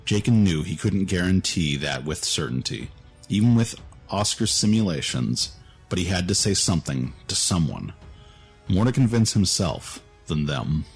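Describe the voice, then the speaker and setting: A man with a very deep voice reads a dramatic story as narrator. The delivery is scripted storytelling, and faint music plays behind him. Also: lively intonation, moderate pace, slightly rough.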